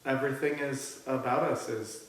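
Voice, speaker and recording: A man's voice: mid-range voice, fairly even intonation, normal speed, very echoey, poor audio. A young man co-hosting a vlog, with teasing, playful banter. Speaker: podcast co-host. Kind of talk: casual vlog chat.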